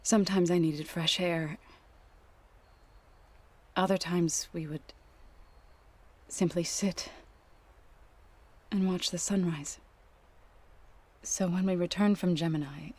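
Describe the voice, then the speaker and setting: A woman playing a gentle, grieving tribeswoman in a video game, speaking in a soft, measured, reverent way. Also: on the low side, steady tone. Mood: wistful, tender. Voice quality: soft, breathy. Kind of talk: video game cinematic acting.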